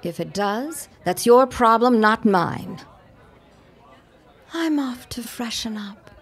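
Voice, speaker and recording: Slow, creaky, cool-headed woman. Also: a little high, expressive, heavily acted. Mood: defiant. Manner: measured, pointed, guarded. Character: cool, defiant young secretary as party guest. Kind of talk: period audio drama acting.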